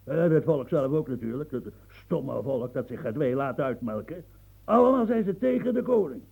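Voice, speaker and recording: An actor playing a proud, coarse old French marquis and marshal in a radio play, gruff and boastful, muttering one moment and shouting the next. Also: male voice, on the high side, animated, normal speed, phone-quality sound, heavily acted. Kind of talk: radio drama acting.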